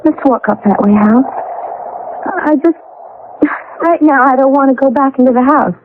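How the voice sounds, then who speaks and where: A woman's voice: high voice, normal speed, noisy background, lightly acted. A woman acting in a radio drama as an anxious American wife in an eerie mystery, fretful and hesitant. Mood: uneasy. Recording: muffled old radio broadcast.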